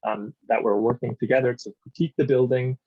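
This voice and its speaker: A man gives a conference presentation on design at a steady, explanatory pace, often pausing with "um." His voice sits at an ordinary middle pitch. The audio is slightly dull and muffled, like an online talk. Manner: measured, explanatory, frequent um fillers. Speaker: architect presenter. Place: New York City.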